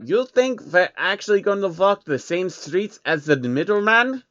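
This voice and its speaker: A man voicing a menacing, condescending baron with a deliberate, sardonic manner for a story reading. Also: high voice, animated, a bit bright, no echo, lo-fi sound, pitched above the performer's own voice. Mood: sardonic, irritated.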